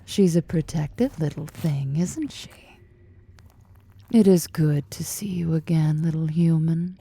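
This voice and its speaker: A woman plays an imperious spider-woman of fantasy audio roleplay, very dark and velvety in tone. She speaks low and unhurried, with a husky breath, teasing as she gives commands. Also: exaggerated voice. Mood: sultry, tender. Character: powerful, imperious, flirtatious female drider, half-spider monster. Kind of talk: ASMR fantasy roleplay acting.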